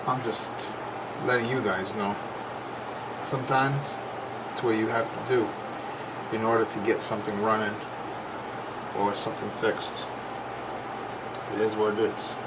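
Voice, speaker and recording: A man's voice: on the low side, little pitch movement, unhurried, long pauses. A man giving a casual, unscripted hands-on repair demonstration, plain-spoken and thinking aloud as he works. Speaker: repair video host. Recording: noisy camera recording with loud background noise.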